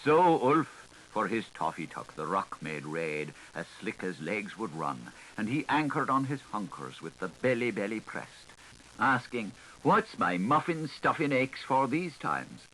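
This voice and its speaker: Guy reading a literary audiobook, super animated n lilting, hissy 80s recording. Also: on the low side, unhurried, rough recording. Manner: theatrical, lilting, singsong delivery. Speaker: audiobook narrator.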